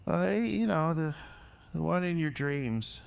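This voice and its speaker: An actor playing an unstable, bitter drunk ex-husband who rambles crudely and menacingly in an audio drama. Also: male voice, on the high side, expressive, takes it slowly, vocal fry, lo-fi sound, heavily acted. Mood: sly, needling.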